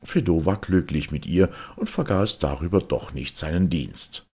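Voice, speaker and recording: Male storybook narrator, deep and very husky, expressive theatrical delivery. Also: moderate pace. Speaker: audiobook reader. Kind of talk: storybook fairy-tale narration.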